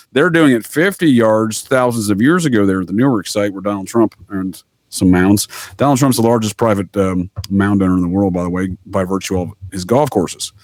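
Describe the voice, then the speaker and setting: A man's voice: on the low side, dry recording. A man talking casually as a podcast guest, telling stories in a rambling, mock-formal way. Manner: rambling, mock-formal, addresses listener as sir. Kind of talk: casual conversational storytelling.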